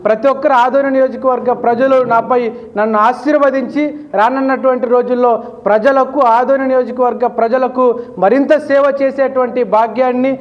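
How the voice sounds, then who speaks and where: A man's voice: very high voice, steady tone, brisk. A man, a local political leader giving a formal thank-you speech in repetitive, oratorical phrases. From Adoni region, Andhra Pradesh, India. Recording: dull, lo-fi sound.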